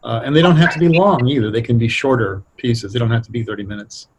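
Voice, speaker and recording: A man's voice: mid-range voice, fast talker, a little hiss or hum, rough recording. A middle-aged or older man talking casually as an interview guest, explaining things in a reflective, hesitant way. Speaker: guest, radio drama writer.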